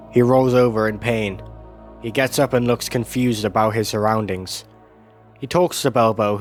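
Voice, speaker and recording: A man narrating a video essay in a scripted, descriptive storytelling style. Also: mid-range voice. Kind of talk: scripted narration, recapping an animated series. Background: music.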